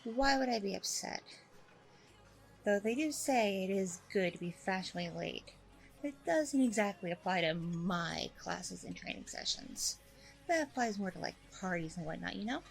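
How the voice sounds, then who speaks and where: A woman acts out a smug female boss of an alpha training center in an audio roleplay. She talks in a breezy, chatty way with polished front-desk politeness and plenty of self-satisfaction. Her voice sits at a medium pitch, with music behind it. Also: expressive, moderate pace, creaky, low-quality recording. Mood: breezy, polite. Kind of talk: ASMR roleplay, casual chatty delivery.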